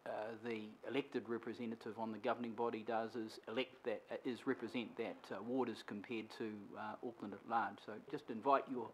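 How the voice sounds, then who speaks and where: A man, a city councillor, putting measured, hesitant questions at a council meeting. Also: on the low side, steady tone, a little hiss or hum, poor audio. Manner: measured, hesitant, many fillers. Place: council chamber.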